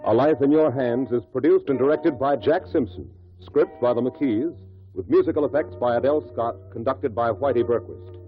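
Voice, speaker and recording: A man's voice: medium pitch, normal speed. A man with an American accent announcing sponsor messages and credits in scripted 1950s radio style. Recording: muffled old radio recording with music.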